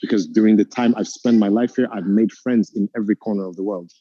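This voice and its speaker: A Ugandan-born man speaks with an American-tinged accent. His voice is very dark and mellow, and the sound is dry and a little muffled. He talks in an earnest, motivational way. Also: lowish voice, fairly even intonation, fast talker, no room sound. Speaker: guest entrepreneur. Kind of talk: reflective interview answer.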